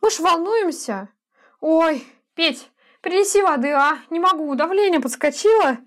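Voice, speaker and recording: A flustered, fretting mother, as a woman puts her on, stammering and fussing in a high, climbing voice. She is played for a chatty talk-show sketch. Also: lively intonation, a little slow. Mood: alarmed fussing. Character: fretful anxious mother, older woman, fussing.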